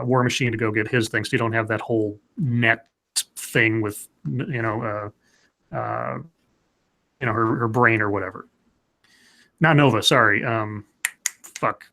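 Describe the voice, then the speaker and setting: A man co-hosting a live comics chat show, talking loosely with lots of restarts and fillers. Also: mid-range voice. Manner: rambling, animated, many restarts and fillers. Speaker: podcast co-host. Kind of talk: casual live-stream chat.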